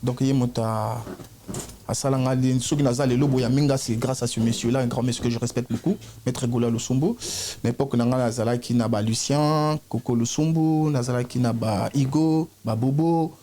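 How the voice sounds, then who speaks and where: Relaxed Congolese man mixing in Lingala. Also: medium pitch, a little fast, slight background noise. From Congo (DRC). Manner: relaxed, mixes French with Lingala. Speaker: guest, judoka. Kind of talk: casual talk-show interview.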